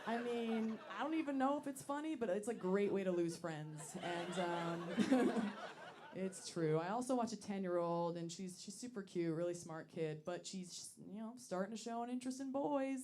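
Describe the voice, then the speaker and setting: Woman, late 20s, low voice, dry self-mocking stand-up delivery, lots of ums. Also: normal speed, slight background noise. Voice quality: deep voice for a woman. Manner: deadpan, self-deprecating, with many ums. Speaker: stand-up comedian. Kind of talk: stand-up set. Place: comedy club stage.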